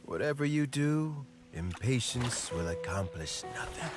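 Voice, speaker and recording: An actor playing a kindly older fisherman who gives slow, gentle, fatherly advice to a young man. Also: mid-range voice, lively intonation, close to an everyday voice. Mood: gentle, advising. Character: kindly old male fisherman mentor, patient. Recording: game audio with music.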